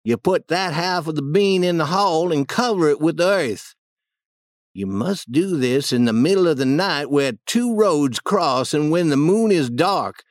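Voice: mid-range voice, animated, unhurried, no room sound, studio quality, pitched above the performer's own voice, lightly acted. A narrator voicing an easygoing, folksy village boy who explains things plainly and confidently in a children's audiobook. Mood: confident, instructive.

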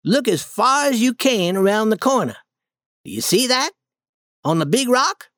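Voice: medium pitch, sing-song, normal speed, a bit bright, no echo, studio quality, voice pushed up. A storybook reader voicing a bold, eager young boy adventurer in a raised voice, confident and persuasive.

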